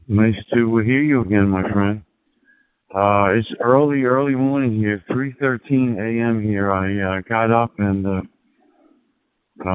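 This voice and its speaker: A man making a friendly, halting amateur radio contact over a handheld two-way radio. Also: fairly low pitch, smooth, no echo. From United States. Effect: two-way digital radio. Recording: tinny narrowband handheld radio transmission.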